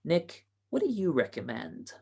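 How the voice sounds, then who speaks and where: Woman playing excitable, melodramatic young society girl. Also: lowish voice, a little slow, creaky, slightly rough, full character voice. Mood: dramatic, scheming. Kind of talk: 1940s radio comedy acting.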